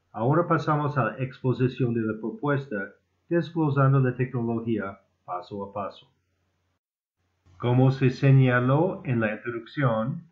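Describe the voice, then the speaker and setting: A man who speaks as a second-language speaker, reading a formal scripted lecture in a deliberate, slightly stumbling way. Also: medium pitch. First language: not Spanish (Spanish is his second language). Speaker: amateur inventor presenting his own proposal. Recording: muffled, phone-like narrow band.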